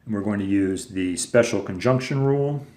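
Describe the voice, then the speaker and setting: A man with a fairly low voice gives a recorded lesson and explains each step patiently, with relaxed fillers along the way. The room around him is echoey. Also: normal speed. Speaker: teacher. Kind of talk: recorded lecture video.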